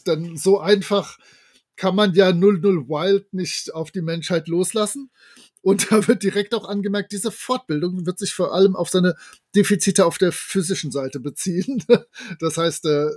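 A man with a distinctly high-pitched voice talks as a podcast co-host. He retells and discusses a story in a casual, enthusiastic and appreciative way.

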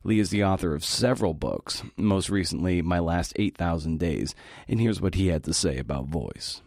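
A man's voice: sits low, lively intonation, normal speed, vocal fry, darkish tone, no echo, clean studio sound. A middle-aged man hosting a podcast, giving a scripted talk with casual asides in a folksy, encouraging manner.

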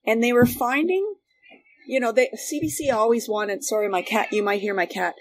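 A woman's voice: fairly high pitch, moderate pace, rough recording. A Canadian woman reminiscing casually as a guest, chatty and emphatic, rambling from one thought to the next. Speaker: guest, former radio worker.

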